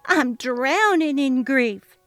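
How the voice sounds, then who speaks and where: A woman's voice: high-pitched, lively intonation, takes it slowly, a bit bright, no room sound, faint music behind, pitched above the performer's own voice, full character voice. A woman acting a melodramatic, self-pitying lady boss. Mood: tearful self-pity. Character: Mary, narcissistic lying female boss playing the tearful victim.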